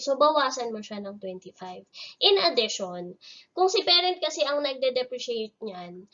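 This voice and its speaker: A woman with a Filipino accent teaches in a fairly high voice. She walks through worked problems step by step, keeping it conversational and instructional. The recording is a little muffled and lo-fi. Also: fairly bright tone. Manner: informal, instructional, mixing technical terms into conversational explanation. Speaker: accounting lecturer. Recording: dull, lo-fi voice recording.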